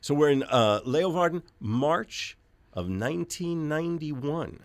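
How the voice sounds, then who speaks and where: A man's voice: on the high side, animated, unhurried, no echo. A man hosting a radio show, chatting casually with a guest in a studio, laid-back and playful. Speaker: radio host. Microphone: studio microphone.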